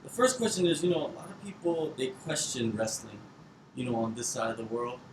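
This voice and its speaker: A man's voice: mid-range voice, a little fast. A man asking casual interview questions, building them up in short phrases. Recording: echoey room with clear background noise.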